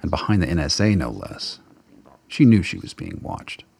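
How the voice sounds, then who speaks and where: A man's voice: sits low, sing-song, moderate pace, creaky, a little mellow, slightly rough. A man reading scripted dramatic narration as the narrator of a radio play.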